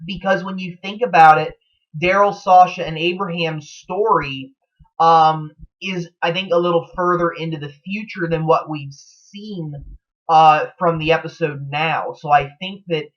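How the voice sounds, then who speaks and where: A man's voice: fairly high pitch, fairly even intonation, unhurried, a bit bright. Man rambling casually, dull lo-fi recording. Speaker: host of a TV show theory vlog. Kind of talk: casual speculative commentary.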